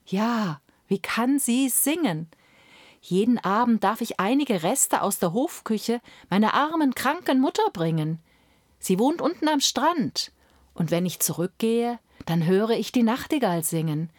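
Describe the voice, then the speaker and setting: A woman voicing a poor little kitchen girl who talks high, soft and earnest. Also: animated, measured pace, no room sound, studio quality. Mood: tender.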